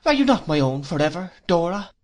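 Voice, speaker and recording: A narrator voicing an earnest young gentleman in love, speaking fondly and coaxingly. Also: mid-range voice, sing-song, brisk, voice pushed up, close to an everyday voice. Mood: fond, soothing. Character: lovestruck, earnest young gentleman soothing his sweetheart.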